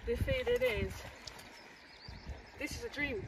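A woman hosting an outdoor fishing vlog beside a river, talking in an excited, lively way. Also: fairly high pitch, slow pace. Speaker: host. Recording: distant mic with outdoor noise.

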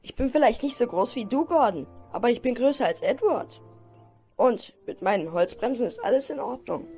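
A woman's voice: a little high, animated, normal speed, warm tone, soft background music. A woman playing a vain, touchy male steam locomotive in a defensive, indignant manner for a cartoon fan dub.

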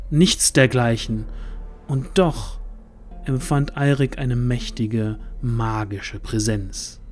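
A man narrating a fantasy audio drama in a suspenseful, deliberate way with dramatic pauses. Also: mid-range voice.

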